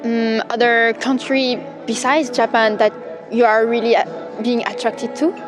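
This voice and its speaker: A young woman from France, a native French speaker, interviewing in an eager, encouraging way with quick reactions. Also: fairly high pitch, flat delivery. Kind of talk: casual interview. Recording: music playing underneath.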